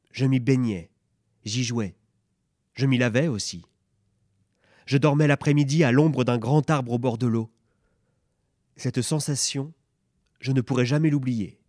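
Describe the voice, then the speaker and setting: Man in his thirties, calm even reading of literary prose, dry close sound. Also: medium pitch, no room sound. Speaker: reader. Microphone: stand mic.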